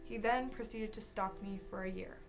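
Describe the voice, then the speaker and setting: A young woman reads a first-person testimony aloud. Her pitch is average for a woman, and music plays softly behind her. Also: steady tone, normal speed, creaky. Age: young adult. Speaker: student reader. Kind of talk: reading a personal account aloud.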